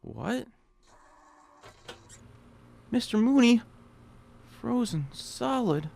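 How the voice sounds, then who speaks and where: A man's voice: extremely high pitch, expressive, drawn-out words, gravelly, darkish tone, heavily acted. An actor playing a meek, hapless, flustered man in a radio drama, speaking hesitantly with stammers. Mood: shocked, flustered.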